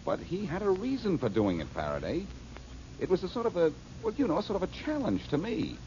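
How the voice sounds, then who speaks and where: A man's voice: high-pitched, wildly swooping pitch, fairly quick, warm tone. An actor playing a cocky, self-assured male detective in a 1940s radio drama. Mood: insistent. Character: tough, wisecracking male detective. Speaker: lead detective. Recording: muffled old radio broadcast, faint hiss.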